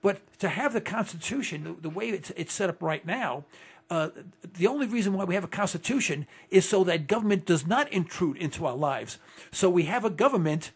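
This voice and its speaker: A man's voice: high-pitched, animated, fairly quick, slightly rough. A man hosting a talk radio show who rambles through his points with many restarts and fillers.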